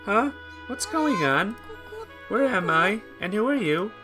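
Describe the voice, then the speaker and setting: A man's voice: high-pitched, big pitch swings, slow, sharp tone, soft background music, voice pushed up, full character voice. A man voicing a groggy, naive teenage girl in a visual novel, hesitant and full of startled questions. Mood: startled, bewildered.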